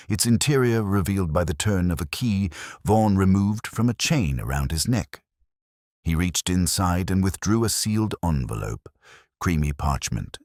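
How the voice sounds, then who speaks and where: A man narrating a Victorian detective story in a measured, dramatic storytelling manner. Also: sits low, a little mellow, no room sound, studio quality. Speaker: audiobook narrator.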